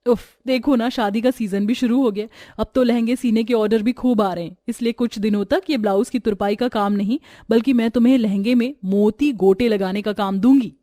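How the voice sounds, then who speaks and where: A woman's voice: a little high, fairly even intonation, fairly quick, hardly any pauses, warm tone, dry recording, studio quality, lightly acted. A woman voicing a businesslike, stingy female tailor-shop owner in a family story.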